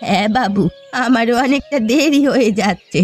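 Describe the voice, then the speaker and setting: Woman playing a high-voiced, plaintive old fisherwoman. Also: normal speed, a little mellow, faint music behind, close to an everyday voice. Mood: resigned. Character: poor old village fisherwoman, weary and sorrowful. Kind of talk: Bengali cartoon story dialogue.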